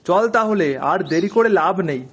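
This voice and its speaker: A man's voice: sits high, normal speed, fairly bright tone. A man with a Bengali accent playing an anxious, earnest villager in a folk-tale drama. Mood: earnest, urgent. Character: anxious, civic-minded poor male villager in a folk tale.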